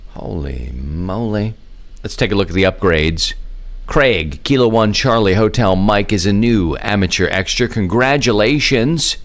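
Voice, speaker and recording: A man's voice: fairly low pitch, animated, normal speed. A man hosting a casual hobby podcast, making announcements in a folksy, informal way with bits of slang. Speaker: podcast host.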